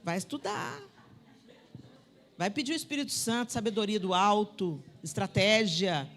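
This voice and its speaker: A woman preaching a sermon in a church, talking to the audience directly and swinging between loud, emphatic declarations and soft asides. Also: mid-range voice, a little slow. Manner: conversational, addresses the audience directly. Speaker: preacher at a women's conference. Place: church sanctuary.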